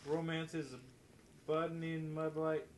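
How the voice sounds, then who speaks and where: A man voicing a busy, brisk lady newspaper editor in an amateur comedy radio play, theatrical and full of endearments. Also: on the high side, monotone, lightly acted. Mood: wry. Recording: slightly noisy podcast recording.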